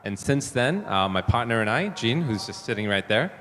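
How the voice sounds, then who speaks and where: A man from New Zealand talking as a panel guest, relaxed and easygoing, with lots of fillers. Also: medium pitch, moderate pace. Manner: relaxed, laughs easily, many fillers. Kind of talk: conference panel talk. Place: conference hall. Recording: hall reverb with faint room noise.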